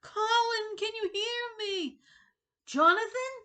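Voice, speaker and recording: A woman's voice: very high voice, slow pace, bright, forceful tone, pitched above the performer's own voice, heavily acted. A woman voicing a scared but determined boy hero in a storybook reading, calling out in an urgent, strained way. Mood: frantic.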